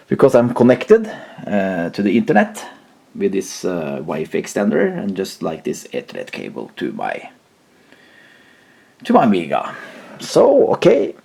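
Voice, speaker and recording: Halting low-voiced man with lively, swinging pitch. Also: vocal fry. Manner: halting, hesitant, searching for words. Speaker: host of a retro computer channel. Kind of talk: casual unscripted tech demonstration.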